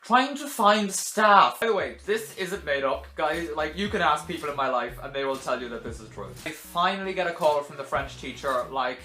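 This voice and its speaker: A young man telling a casual vlog story to camera in a dramatic, animated way, with sarcastic, self-deprecating asides. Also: on the high side, moderate pace, hardly any pauses, soft background music. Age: young adult. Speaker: video blogger telling a personal story. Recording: roomy echo.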